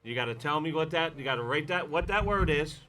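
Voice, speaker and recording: Man, podcast co-host, sweary, sardonic teasing, slightly high animated voice, casual banter. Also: fast talker. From Maryland, United States.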